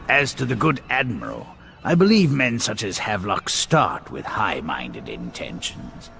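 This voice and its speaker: A man playing a pompous, philosophical gentleman in a video game, formal and theatrical. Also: lowish voice, wildly swooping pitch, unhurried, gravelly, slight background noise, full character voice. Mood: lofty, reflective. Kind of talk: dramatic video game voice acting.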